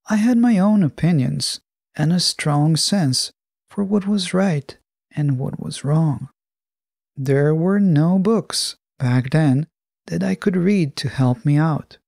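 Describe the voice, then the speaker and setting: Slow, dry-miked man hosting a podcast. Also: fairly high pitch, creaky, dark tone, no echo. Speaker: podcast host. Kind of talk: scripted solo podcast narration.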